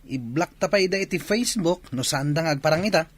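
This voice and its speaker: A man playing an easygoing young village drinking buddy with an Ilocano accent, informal and animated, in radio drama style. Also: fairly high pitch, fast talker, close to an everyday voice. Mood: casual. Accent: Filipino, Ilocano.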